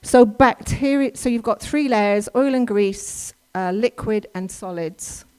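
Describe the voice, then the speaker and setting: A woman's voice: mid-range voice, a little slow, a little mellow, smooth, poor audio. A woman from England with an English accent, giving a chatty, informal workshop talk with slides, often restarting her sentences. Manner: chatty and explanatory, with frequent restarts and ums. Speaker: workshop presenter. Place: church hall.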